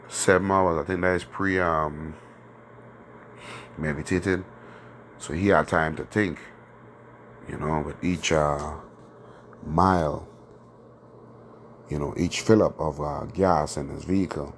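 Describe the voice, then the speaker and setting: A man teaching an informal scripture lesson, hoarse from a sore throat, talking in a loose, conversational way with many fillers and tag questions. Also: very deep voice, slight background noise. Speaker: teacher of a religious group.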